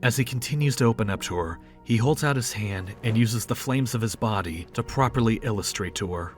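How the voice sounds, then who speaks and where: A man's voice: fairly low pitch, fairly quick, soft background music. A man reading scripted third-person narration as the storyteller of an audio drama.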